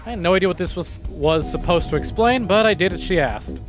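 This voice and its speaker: A man's voice: sits high, animated, fast talker, music in the background. A man live-streaming a visual novel, reading lines aloud theatrically and adding playful, joking commentary. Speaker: game streamer.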